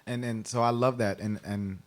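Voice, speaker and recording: A man's voice: on the low side, steady tone, moderate pace. A man from Kenya talking in a conversational radio interview, explaining things and often checking in with "right?". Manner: explanatory, rhetorical "right?" tags. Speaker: guest explaining the census.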